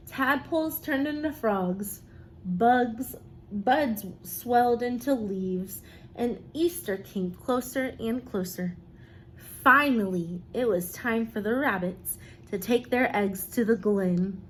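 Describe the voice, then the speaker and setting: A woman teacher reading a picture book aloud expressively, pausing to encourage young listeners with questions and praise. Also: on the high side, slight background noise, low-quality recording.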